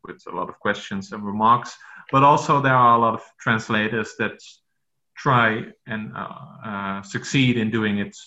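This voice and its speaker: A Dutch-born man speaking English as his second language, pausing often and restarting his sentences. His voice has a bright, forceful edge. It sounds roomy and a little dull, as if recorded remotely. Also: on the low side, fairly even intonation. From Netherlands. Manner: hesitant, many fillers and restarts. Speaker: author guest. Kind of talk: podcast interview.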